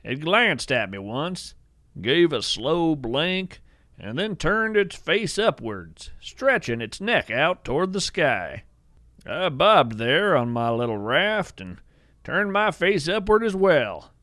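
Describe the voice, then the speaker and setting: A man playing an earnest, awestruck naturalist, narrating in a poetic, measured storytelling style. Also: a little high, big pitch swings, a bit bright, dry recording, clean studio sound, exaggerated voice. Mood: hushed wonder. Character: awestruck bearded male naturalist who studies strange creatures. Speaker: host and narrator of a fiction podcast. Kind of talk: scripted first-person narration, storytelling.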